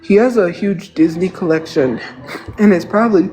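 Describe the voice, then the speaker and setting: A man's voice: sits high, normal speed, a little mellow, faint music behind, low-quality recording. A man in his thirties with a congested nose, rambling and venting in casual livestream chat. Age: 30s. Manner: rambling, venting, repeats himself.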